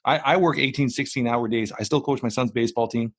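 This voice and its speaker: A man's voice: mid-range voice, quick pace, breathy edge, dry recording, clean studio sound. A middle-aged man hosting a podcast, talking bluntly and emphatically and swearing casually. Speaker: podcast host. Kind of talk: casual interview talk.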